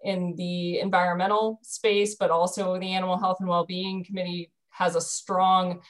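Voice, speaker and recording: A woman's voice: fairly low pitch, little pitch movement, normal speed. A woman in her thirties explaining a dairy program on a video call from home, earnest, with frequent ums and restarts. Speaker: dairy program staff presenter. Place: bedroom at home. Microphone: video call webcam.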